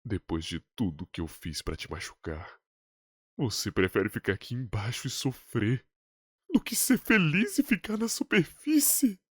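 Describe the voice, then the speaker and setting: An actor playing a weary, gentle old monster king full of regret, speaking solemnly in a dramatic game dub. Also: medium pitch, big pitch swings, slightly rough, dry recording, clean studio sound, full character voice. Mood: tender, grateful. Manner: solemn, measured, dramatic delivery. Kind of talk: dramatic dubbed game monologue. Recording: music behind the voice.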